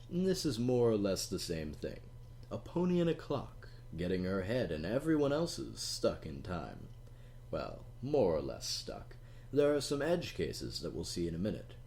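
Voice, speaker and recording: Man narrator, very low voice, swooping lively delivery, playful teasing asides, storybook reading. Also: creaky, slight background noise. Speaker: audiobook narrator.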